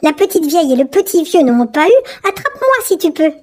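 A woman's voice: very high-pitched, sing-song, fairly quick, a little mellow, voice pushed up. A woman voicing a cheeky little gingerbread boy in a storybook reading, with a boastful, taunting sing-song manner. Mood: taunting gleeful.

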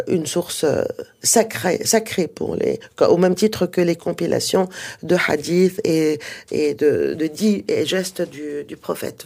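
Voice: medium pitch, darkish tone. Native Arabic speaker explaining in an interview. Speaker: interviewed author and researcher on early Islamic texts.

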